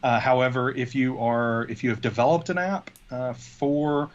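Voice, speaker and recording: Guy in his 40s talking tech casually, kinda thinking out loud, bit muffled video call sound. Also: mid-range voice, moderate pace. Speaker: co-host of a tech news show. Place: small home office, video call.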